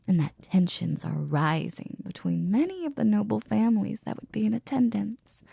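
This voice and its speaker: A woman playing a flirty, devoted maid in an intimate ASMR roleplay, breathy and often near a whisper, with a teasing, affectionate manner. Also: fairly low pitch, warm tone, dry recording, full character voice. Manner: teasing, affectionate, slow and drawn out.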